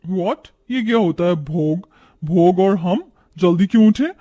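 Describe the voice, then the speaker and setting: A man voicing a haughty young modern city daughter-in-law, sassy and defiant, mixing in English words, in an animated story. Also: sits high, a little slow, soft background music. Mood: indignant.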